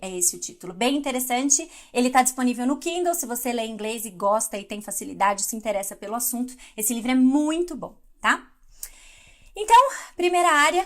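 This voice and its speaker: A middle-aged woman from Brazil leads a live devotional in a chatty, conversational way, pausing to answer viewers. Her voice sits a little high for a woman. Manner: conversational, reads live comments aloud. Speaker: devotional speaker.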